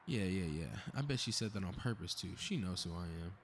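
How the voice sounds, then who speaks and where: A man's voice: low voice, expressive, a little fast, a little hiss or hum. A man playing a cocky, snarky superhero in a scripted audio roleplay, muttering to himself with sarcastic exasperation. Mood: smug. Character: cocky, snarky, meddling invisible male superhero grumbling to himself.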